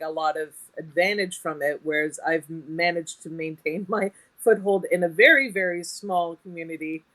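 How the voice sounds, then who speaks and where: A woman's voice: lowish voice, fairly bright tone, low-quality recording. A middle-aged woman from Canada answering casually as a guest, hesitant and self-deprecating. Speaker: theatre director and actor, podcast guest.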